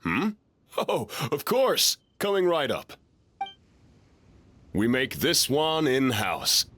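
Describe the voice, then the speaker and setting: Slow-talking obliging chef. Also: male voice, medium pitch, huge pitch swings, bright, forceful tone, a little hiss or hum, full character voice. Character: busy, obliging male restaurant chef, mild-mannered. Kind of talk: video game voice acting.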